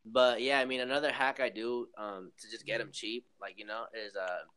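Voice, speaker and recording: A man's voice: on the low side, steady tone, normal speed, bright, forceful tone, clear, resonant tone. An eighteen-year-old man chatting casually on a call, laid-back and slangy, saying "bro" and "like" a lot. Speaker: shoe reseller, host of the call. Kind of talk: casual chat.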